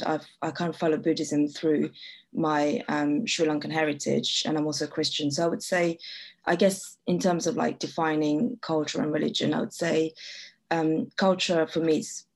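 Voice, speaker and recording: A woman from France who is a guest on a podcast, speaking hesitantly with many pauses and fillers. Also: low-pitched, fairly even intonation. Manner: hesitant, many ums, searching for words. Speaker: occupational therapist, guest.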